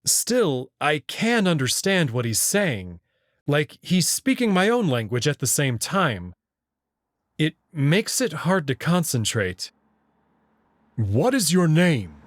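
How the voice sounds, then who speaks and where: An unhurried man narrates a visual novel in first person. His voice sits a little high and moves in lively swings of pitch. The sound is dry and close. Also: no echo.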